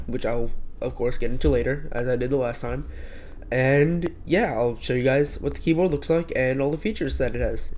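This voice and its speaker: A man's voice: mid-range voice, a little mellow. Stuffy-nosed young man rambling casually. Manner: rambling with frequent fillers and restarts. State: stuffy from a cold. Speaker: tech reviewer. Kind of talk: casual product review.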